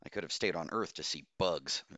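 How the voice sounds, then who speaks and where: A man's voice: fairly low pitch, sing-song, normal speed, bright, forceful tone, no echo, voice pushed up. A man voicing an agitated, stubborn woman doctor with a strident, complaining manner in an audiobook story. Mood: irritated, aggrieved. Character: agitated, stubborn female doctor, hissing and whining.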